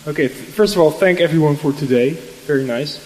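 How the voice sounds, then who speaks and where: A man's voice: fairly high pitch, fast talker, a little hiss or hum. A man in the audience putting a question to a panel, polite and hesitant. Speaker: audience member asking a question. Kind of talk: halting question from the floor. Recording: reverberant conference hall.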